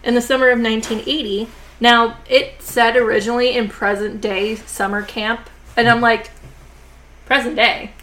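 Woman podcast host, kinda high voice, says like a lot, jaw's sore so she goes a bit slow. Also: level tone, fairly bright tone, low-quality recording. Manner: chatty, many "like" and "you know" fillers. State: sore jaw, talking a bit slowly. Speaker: podcast host reviewing horror films. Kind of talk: casual conversational film review.

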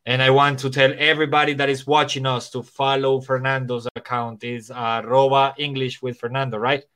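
A man's voice: medium pitch, flat delivery, fairly quick, sharp tone, clear, resonant tone. A man whose first language is Spanish, speaking English with a Spanish accent and some Irish and American touches, an English teacher chatting casually on a podcast, rambling with restarts. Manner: rambling, many restarts and fillers.

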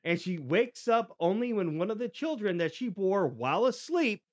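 Middle-aged guy podcast host, kinda high voice, halting storyteller, close dry sound. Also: big pitch swings, no room sound. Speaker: podcast host telling stories. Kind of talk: casual conversational storytelling.

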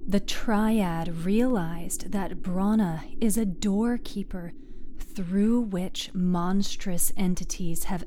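A woman storyteller reading dramatic scripted narration in a deliberate, halting way, lingering on short phrases. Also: mid-range voice, warm tone, slight background noise. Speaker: storyteller, narrator of a serial fiction podcast. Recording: music under the voice. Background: music.

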